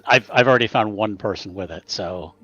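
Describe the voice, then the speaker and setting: A man playing a tabletop game, haltingly describing what his character does in casual chat. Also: on the low side, bright, forceful tone, lo-fi sound.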